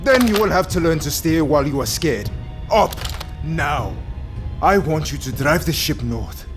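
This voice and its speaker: An actor playing a menacing, volatile pirate captain who commands and taunts in an audio drama. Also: male voice, fairly high pitch, lively intonation, unhurried, over music. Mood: menacing, taunting. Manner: commanding, taunting, explosive when crossed.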